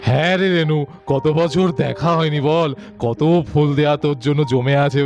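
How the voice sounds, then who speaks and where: A man's voice: on the high side, moderate pace, gravelly. A man voicing a fond, nostalgic old sweetheart in a sentimental, chatty way for a puppet cartoon. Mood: tender, wistful. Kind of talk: Bengali puppet cartoon voice acting.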